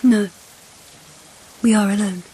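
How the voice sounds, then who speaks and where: An actress playing a dutiful, composed empress in a radio period drama, formal and measured. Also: female voice, mid-range voice, fairly even intonation, warm tone, slight background noise, lightly acted, rough recording. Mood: calm concern. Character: Japanese empress, composed, dutiful, probing wife.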